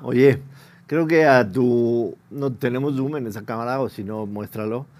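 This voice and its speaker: Hombre mexicano que lleva la mesa de un programa de deportes, voz de altura normal, relajado. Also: male voice, medium pitch, measured pace, a little mellow. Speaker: sports show host. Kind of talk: casual sports chat.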